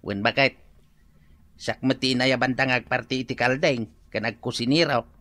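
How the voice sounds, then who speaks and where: Casting: male actor as easygoing Filipino working husband, radio comedy, forceful bright tone, dry close sound. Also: mid-range voice, steady tone, moderate pace, dry recording, natural voice. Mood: eager. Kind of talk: radio comedy drama acting.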